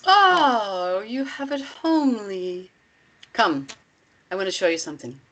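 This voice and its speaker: A woman playing a commanding, measured female cult leader in a screenplay reading, instructive and deliberate. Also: mid-range voice, sing-song, a bit bright, a little hiss or hum.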